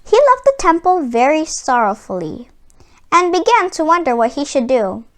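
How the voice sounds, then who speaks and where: A woman reads a storybook aloud for children in a very high voice. Her pitch moves widely and vividly from phrase to phrase. The delivery is expressive and deliberate, clearly that of a storyteller reading from a script.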